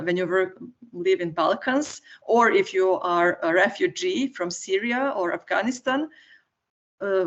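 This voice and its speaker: Woman on a lo-fi call. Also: mid-range voice. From Serbia. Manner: explanatory, frequent "right?" tag questions. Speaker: guest, entrepreneur. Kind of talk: podcast interview answer. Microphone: remote call.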